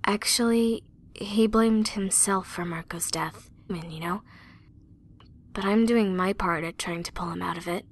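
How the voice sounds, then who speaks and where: A woman playing an earnest, brooding young space cadet with a husky, breathy voice in an anime-style drama. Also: mid-range voice, a little slow. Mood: sombre, earnest. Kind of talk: sci-fi anime parody audio drama acting.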